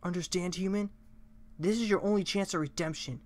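A man's voice: high voice, fairly quick, lightly acted. A man voicing a fierce, proud female fish warrior from a video game, with a dramatic, menacing delivery. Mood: menacing, solemn. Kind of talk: character voice acting of video game dialogue.

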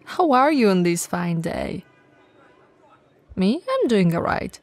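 A woman playing a tall, friendly, teasing bento shop owner in an intimate ASMR roleplay, with a breathy voice and a casual, chatty manner. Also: medium pitch, sing-song, takes it slowly, dry recording. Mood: friendly, cheerful. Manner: casual, playful, hesitant and chatty.

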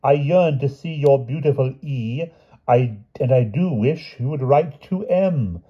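A dithering, old-fashioned king from a storybook, eager and blustering with upper-class tics. The voice is pitched high and a little husky, on dull lo-fi audio. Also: measured pace. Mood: earnest, hopeful.

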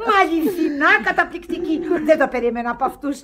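Actress as a high-pitched grand society lady. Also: a crowd in the background, lightly acted. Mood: gushing. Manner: gushing, grand. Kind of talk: Greek radio theatre farce acting.

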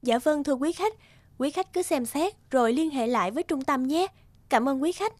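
A woman voicing a polite, brisk agency receptionist in a cartoon, with formal customer-service courtesy. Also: high voice, expressive, a little mellow, a little hiss or hum. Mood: polite, businesslike. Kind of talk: animated moral-tale voice acting.

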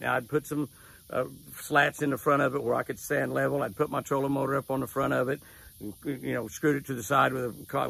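Gravelly older man, folksy storytelling. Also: medium pitch, a bit bright. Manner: folksy storytelling, repeats key phrases. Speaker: devotional host and angler. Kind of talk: casual outdoor devotional and fishing tip. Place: lakeside lawn outdoors at sunrise.

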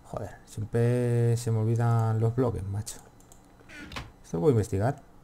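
A man's voice: mid-range voice, fairly even intonation, moderate pace, gravelly, mellow, slight background noise. A man with a Castilian accent from Spain giving casual gameplay commentary, rambling to himself and swearing freely. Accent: Castilian Spanish from Spain. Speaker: gaming video host.

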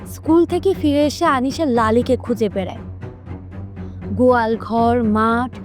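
Higher-pitched woman telling a children's story. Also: measured pace, faint music behind. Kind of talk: scripted children's story narration.

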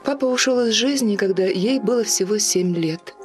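A woman's voice: medium pitch, moderate pace. A woman reading scripted documentary narration as the presenter of a television portrait. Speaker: TV narrator and interviewer. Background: music.

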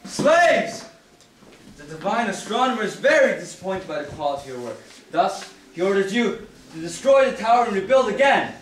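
Pompous high-voiced young herald played by a man, roomy stage. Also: big pitch swings, normal speed. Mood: stern. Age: young adult. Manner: pompous, proclaiming. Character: pompous male herald of a divine astronomer. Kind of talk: theatrical stage declamation. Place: small theatre stage. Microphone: far camera. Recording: roomy stage, distant camera, background noise.

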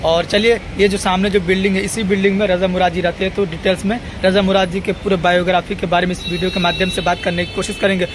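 A man's voice: high voice, level tone, quick pace, hardly any pauses. A young man greeting viewers and pointing out buildings in a chatty way, filming himself with a phone outdoors on a city street. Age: young adult. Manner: chatty, pointing things out while walking. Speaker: vlogger. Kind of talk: casual vlog greeting to camera. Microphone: phone held at arm's length. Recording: street traffic noise behind.

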